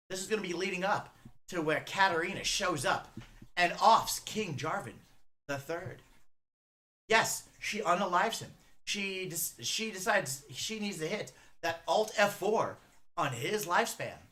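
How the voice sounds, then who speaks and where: A reaction video host giving casual, flippant, animated commentary in an informal way. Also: mid-range voice. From the frozen north.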